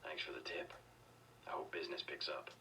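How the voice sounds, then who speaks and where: An actor playing a terse, gruff agent in a video game, speaking in a clipped deadpan. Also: male voice, rumbling low, brisk, far from the mic, noisy background. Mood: flat, polite. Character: terse, gruff male agent hero asking questions.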